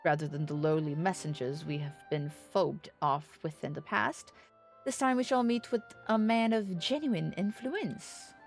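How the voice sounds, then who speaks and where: A woman's voice: lowish voice, gravelly, soft background music. Woman acting out a formal, self-assured young nobleman. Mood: self-assured, scheming. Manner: formal, measured, slightly pompous archaic speech. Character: earnest, self-assured young nobleman diplomat in a fantasy game.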